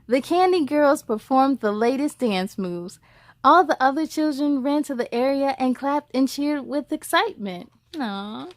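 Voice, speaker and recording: A woman reading a picture book aloud as a story time host, expressive, with chatty asides to young listeners. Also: high voice, no echo, studio quality.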